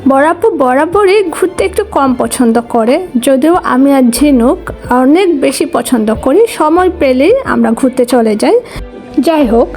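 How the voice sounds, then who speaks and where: A woman's voice: sits high, dark tone. A woman with a Bangladeshi accent narrating a casual family travel vlog in voice-over.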